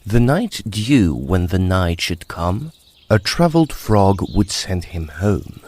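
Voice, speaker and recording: Slow, animated man reciting poetry. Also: low voice. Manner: theatrical, measured. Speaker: poetry reader.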